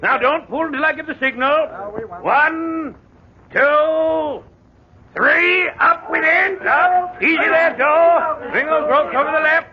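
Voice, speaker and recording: High-pitched bossy foreman, noisy scene. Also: slow, a crowd in the background. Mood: commanding. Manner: gruff, barking orders. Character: impatient, bossy male foreman of a work crew. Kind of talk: 1940s radio horror acting. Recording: dull old radio recording, loud commotion.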